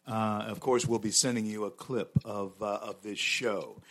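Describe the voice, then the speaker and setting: A man's voice: fairly low pitch, fairly even intonation, a little slow, fairly bright tone. A man hosting a talk show, interviewing a guest with emphatic, rising swells of delivery.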